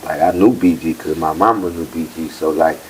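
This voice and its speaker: A man's voice: rumbling low, fairly even intonation, fairly quick, darkish tone, a little hiss or hum, poor audio. A man in his thirties from New Orleans, talking candidly and loosely as a guest in a casual interview. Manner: laid-back, candid, rambling with repeated "like". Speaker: guest rapper.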